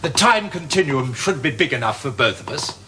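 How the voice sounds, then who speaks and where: An actor playing a haughty, condescending gentleman time traveler in an old TV series clip. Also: medium pitch, big pitch swings, moderate pace, background noise, close to an everyday voice. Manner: condescending, fussy.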